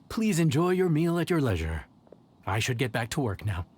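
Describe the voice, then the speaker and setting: Guy acting a polite level-headed young inn chef, anime game, expressive up and down delivery, faint ambience behind. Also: mid-range voice, moderate pace, a little hiss or hum, close to an everyday voice. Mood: courteous.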